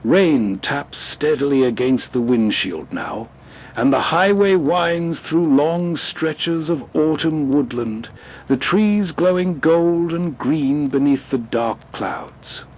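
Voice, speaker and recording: Husky guy narrating real slow, scripted moody host segment. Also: a little high, animated, a little mellow.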